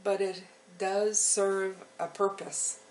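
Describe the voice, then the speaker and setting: A woman giving an unscripted, reassuring tarot reading to her viewers, often pausing to check in with the listener. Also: medium pitch, little pitch movement, slight background noise, low-quality recording. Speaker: tarot reader hosting a channel.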